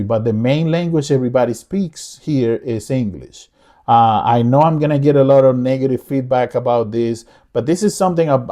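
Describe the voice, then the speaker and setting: Earnest middle-aged man with mellow voice. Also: medium pitch. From Dominican Republic. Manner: earnest, repetitive, frequent restarts. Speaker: vlogger. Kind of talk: casual opinion monologue.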